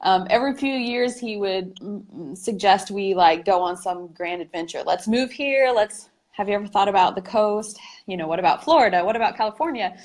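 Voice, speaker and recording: A woman's voice: mid-range voice, a little fast, hardly any pauses, darkish tone. A woman in her thirties from the American Midwest, with a Midwestern accent, talking about her family in a friendly, light way over a video call. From Midwest United States. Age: 30s.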